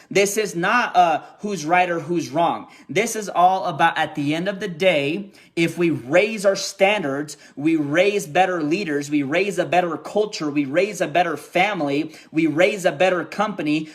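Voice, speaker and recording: A young man with a fairly high voice delivers a rousing pep talk to his sales team. He hammers his points through repetition and keeps tossing in quick check-ins with his listeners. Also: fairly bright tone. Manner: emphatic and repetitive, keeps checking in with "okay?" and "right?". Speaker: network marketing team leader.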